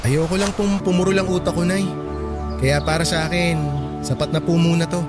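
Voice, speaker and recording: A hopeful, patient young son acted by a man in a radio drama, with a slightly high voice. He speaks politely and earnestly to his elders. Prominent music plays behind him. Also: level tone, a little fast, close to an everyday voice. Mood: reassuring, hopeful. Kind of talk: Tagalog radio drama acting.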